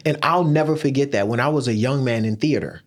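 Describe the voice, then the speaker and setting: A man's voice: mid-range voice, quick pace. Preachy motivational podcast host man. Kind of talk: interview podcast hosting.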